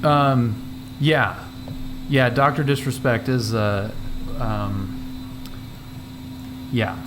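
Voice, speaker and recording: A man chatting casually on a flight sim livestream, speaking haltingly and trailing off between remarks and radio calls. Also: mid-range voice, steady tone. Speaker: flight sim streamer and pilot. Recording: clear cockpit noise behind voice.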